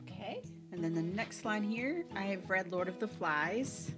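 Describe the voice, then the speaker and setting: A woman's voice: mid-range voice, lively intonation, unhurried, music in the background. A woman in her thirties presenting as a school librarian host, talking casually to camera for students. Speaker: school librarian hosting a virtual library show.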